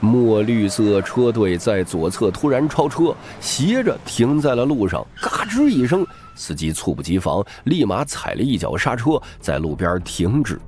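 Low-voiced man narrating, very lively intonation. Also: unhurried, hardly any pauses. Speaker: audiobook narrator. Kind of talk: dramatic scripted narration of a novel.

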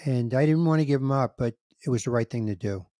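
Man in his 60s, podcast host, casual animated storytelling, dry close studio mic. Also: medium pitch, fast talker, dry recording. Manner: animated storyteller, acts out dialogue, emotional. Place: home room with record shelves. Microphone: studio mic on boom, headphones, video call.

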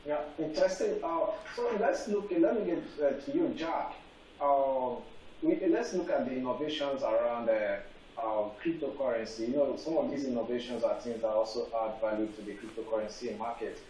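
A man's voice: mid-range voice, dark tone. Hesitant young male panel anchor. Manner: halting, many fillers. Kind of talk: hosting a panel discussion. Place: TV studio set. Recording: echoey room, faint noise, far from mic.

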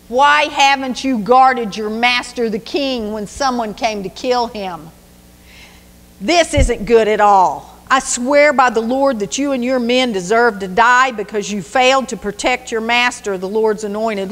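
A woman's voice: a little high, lively intonation, a little slow, in a large hall. A woman voicing a young male warrior who taunts his enemies in a sing-song, mocking way. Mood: taunting.

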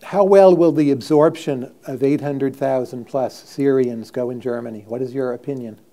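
A man's voice: medium pitch, fairly even intonation, moderate pace, mellow. A middle-aged man hosting a live stage interview, asking direct, probing questions, recorded on a lapel mic. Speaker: host. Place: stage before a live audience.

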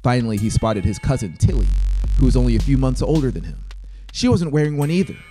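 A man in his thirties narrates dramatic fiction in a measured, expressive way, close to the mic. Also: medium pitch. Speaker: audiobook narrator. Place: small brick-walled home studio. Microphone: close handheld-style mic on boom stand. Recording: music playing behind the voice. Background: music.

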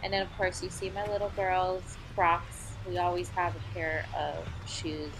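A woman's voice: fairly low pitch, little pitch movement, measured pace, creaky, noisy background. A woman from Hawaii, a mother and vlogger, chatting casually in voice-over about her life and cleaning out her car. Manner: chatty, explaining.

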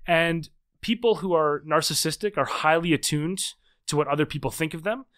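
A man in his thirties, a podcast guest and author, explaining at length in quick conversational speech with frequent restarts and repeated words. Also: fairly high pitch, fairly bright tone, clear, resonant tone. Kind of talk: conversational interview.

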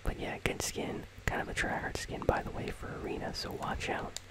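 A host whispering casual, conversational ASMR commentary on a video game in a breathy, unvoiced voice, close to the microphone. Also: a little fast. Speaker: host of a gaming news channel.

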